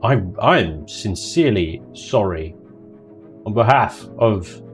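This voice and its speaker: A man's voice: on the low side, animated, slow, soft background music. A man hosting a livestream, chatting casually and jokily while playing a game. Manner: chatty, jokey, drifts into mock voices and laughter. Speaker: livestream host. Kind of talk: casual chat while gaming.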